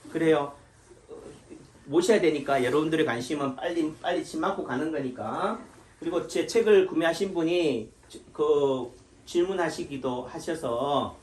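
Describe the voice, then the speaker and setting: Rhetorical, higher-voiced man in an echoey room. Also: a little hiss or hum. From Gyeongsang region of Korea. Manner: rhetorical questions answered himself. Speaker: Korean medicine doctor. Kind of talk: informal health lecture.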